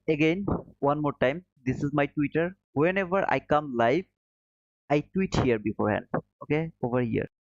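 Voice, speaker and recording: A man's voice: mid-range voice, dry recording, dull audio. A man from Bangladesh hosting a trading livestream, reading viewer comments aloud and answering in a friendly way, calling people brother and chuckling often. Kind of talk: casual live chat reading viewer comments.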